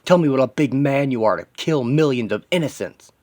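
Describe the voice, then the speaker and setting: Vengeful superhero, played by a male narrator: low, grieving, determined, terse monologue in a comic-book story. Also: fairly even intonation, measured pace. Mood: furious. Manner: terse, dramatic inner monologue in short fragments.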